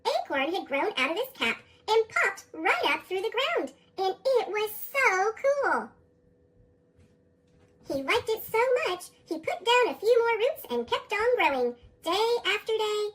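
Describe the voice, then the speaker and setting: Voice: very high-pitched, measured pace. An American narrator reading children's stories expressively, through a sped-up chipmunk voice effect. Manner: animated, expressive. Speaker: storybook narrator. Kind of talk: scripted children's read-aloud narration.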